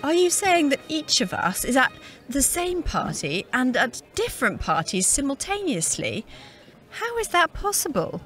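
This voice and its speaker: A woman playing a naive, snobbish high-society lady, gushing and theatrical, in a comic sci-fi audio drama. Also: big pitch swings, measured pace, fairly bright tone, faint music behind, exaggerated voice. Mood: gushing, curious.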